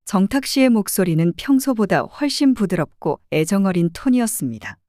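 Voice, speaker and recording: A woman narrating an audio drama as a private detective, in an expressive storytelling manner. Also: medium pitch, brisk, no echo, studio quality.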